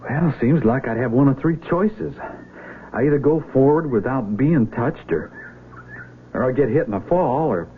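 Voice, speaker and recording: Male actor as boyish Civil War recruit, rural American drawl, folksy and keen, mid pitch, heavily band-limited noisy old radio drama. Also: animated, moderate pace, natural voice. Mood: uneasy, pondering. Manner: folksy, enthusiastic, drawling country talk. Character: eager, boyish young rural Union Army volunteer. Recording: noisy, tinny vintage radio broadcast recording.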